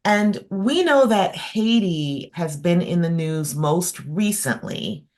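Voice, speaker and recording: A woman hosts an interview in a low, unhurried voice. She speaks thoughtfully, pausing with ums as she builds her questions. She is heard over a video call. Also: animated, darkish tone. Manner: measured, thoughtful, with frequent fillers. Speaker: host. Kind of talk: interview hosting.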